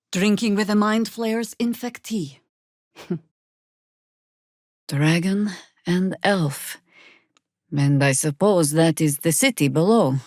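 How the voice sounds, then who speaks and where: A woman voice actor playing a seasoned, older druid warrior in a video game, wry, stern and proud, with measured, commanding delivery. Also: low voice, no echo, clean studio sound. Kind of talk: scripted video game dialogue.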